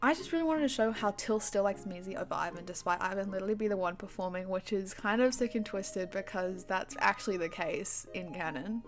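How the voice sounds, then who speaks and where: A young woman speaks in a casual commentary voice-over, chatty and self-deprecating. She keeps a middling pitch and a mock-dramatic lilt as she narrates. Faint music plays underneath. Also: a little fast, few pauses. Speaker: animatic creator.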